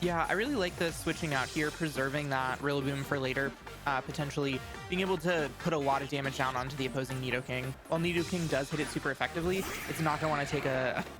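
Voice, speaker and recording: Quick, higher-voiced male color commentator. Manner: eager, explanatory, many "uh" fillers. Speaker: esports co-commentator. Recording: music playing under the voice. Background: background music.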